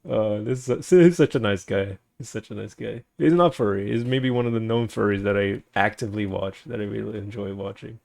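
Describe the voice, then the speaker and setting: Streamer, young man, Portuguese accent, gravelly lowish voice, rambly, gropes for words. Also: fairly quick, hardly any pauses, darkish tone. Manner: rambling, searches for words, laughs often. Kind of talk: casual chat with viewers.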